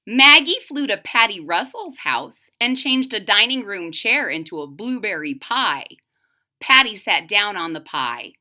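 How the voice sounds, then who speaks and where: Bright, animated woman reading to children. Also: medium pitch, measured pace. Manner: expressive, explains and repeats for young listeners. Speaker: storybook reader. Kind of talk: children's picture-book read-aloud with asides to viewers.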